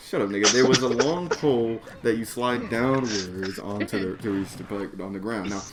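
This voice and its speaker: A man's voice: lowish voice, moderate pace, over a noisy crowd. A man reading his own comedic story aloud in a slangy, profane, exasperated way. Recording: noisy background, mouse or mic clicks.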